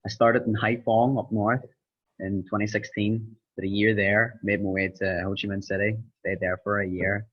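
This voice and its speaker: A young Irish man telling his story in an interview, pausing often with ums. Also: fairly low pitch, flat delivery, breathy edge. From Ireland. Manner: hesitant, many ums, rambling. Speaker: interviewed student. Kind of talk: casual interview. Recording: dull lo-fi sound.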